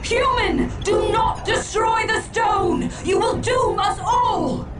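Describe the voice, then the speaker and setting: A woman playing an imperious, desperate alien seer in a video game, declaiming in shouts. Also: extremely high pitch, takes it slowly, music in the background. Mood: desperate warning. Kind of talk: video game dramatic acting.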